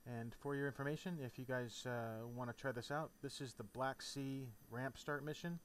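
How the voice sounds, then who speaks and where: A man's voice: medium pitch, normal speed. A man presenting an informal step-by-step game tutorial in a casual, instructional way. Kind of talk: casual step-by-step tutorial narration over a flight simulator. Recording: clear background noise. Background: steady noise, game sound off.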